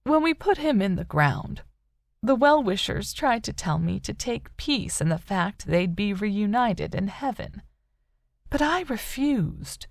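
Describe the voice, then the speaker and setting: A woman reader performs an older mother in an audiobook novel, haunted by loss but clinging to hope. Her voice is lifted high and soft, with some creak in it. She speaks tenderly and sorrowfully, in a close, dry recording. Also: very animated, measured pace, no room sound. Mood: sorrowful, resolute. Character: grieving older mother, wistful, haunted, still hopeful.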